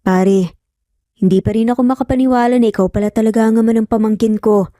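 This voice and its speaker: A woman voicing a calm, protective young man, a loyal friend who talks gently and in measured phrases in an audio story. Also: on the high side, no room sound, clean studio sound, close to an everyday voice. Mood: disbelieving.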